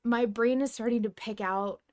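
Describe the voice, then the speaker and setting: A woman's voice: fairly high pitch, fairly even intonation, normal speed, darkish tone. A woman hosting a podcast in casual fan-chat style, gushing with enthusiasm and swearing casually. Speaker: podcast host.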